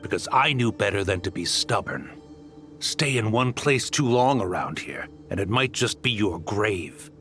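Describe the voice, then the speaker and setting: A scornful desert outcast in a fantasy game. He is defiant and menacing, with a forceful tone and animated pitch over faint music. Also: measured pace. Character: defiant, scornful rival desert nomad, a man.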